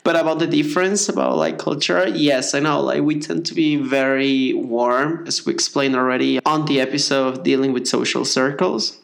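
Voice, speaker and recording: Gravelly-voiced Mexican man. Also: mid-range voice, fairly even intonation, normal speed, few pauses. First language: Spanish. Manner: rambling, many fillers and restarts. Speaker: podcast co-host. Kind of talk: casual conversation.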